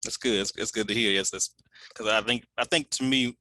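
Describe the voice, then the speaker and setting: A man hosting an interview, asking relaxed questions with many fillers and restarts. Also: lowish voice, a little fast, poor audio. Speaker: interview host. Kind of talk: casual interview.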